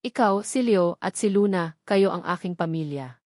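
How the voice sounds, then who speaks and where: A woman's voice: fairly low pitch, expressive, moderate pace, a little mellow, no room sound, studio quality, close to an everyday voice. A woman with a Filipino accent voicing a grateful, loving bride who speaks in heartfelt, sentimental lines in an audio love story. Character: grateful, loving young bride, a former vendor.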